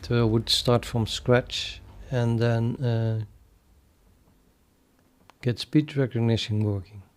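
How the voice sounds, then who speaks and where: A man with a Dutch accent chatting casually on a live programming stream, thinking aloud and halting with many fillers. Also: medium pitch, fairly even intonation. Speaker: programming livestreamer.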